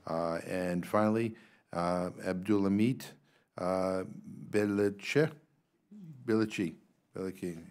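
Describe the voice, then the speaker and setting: A low-pitched man in his sixties with a Massachusetts accent reads out speaker introductions at a congressional hearing. He halts often with "uh" and trips over unfamiliar names. He speaks into a desk microphone in a big, formal hearing room. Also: fairly even intonation, darkish tone, clear, resonant tone. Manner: halting, filler-heavy reading, stumbles on names. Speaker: congressional commission co-chair.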